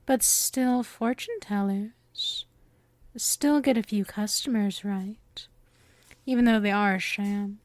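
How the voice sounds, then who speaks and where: A woman's voice: mid-range voice, no room sound, natural voice. A woman playing a sweet, possessive witch shopkeeper in an ASMR roleplay, coy, teasing and theatrical in her affection. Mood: coy, wry. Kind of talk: ASMR roleplay, intimate scripted acting.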